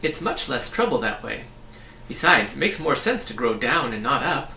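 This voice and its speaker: A man's voice: mid-range voice, sing-song, moderate pace, vocal fry, pushed and bright, reverberant, far from the mic, slight background noise, full character voice. A man voicing a breezy, opinionated young boy in a storybook, explaining things in a chatty, matter-of-fact way. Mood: self-assured. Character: breezy, opinionated young boy who floats in mid-air.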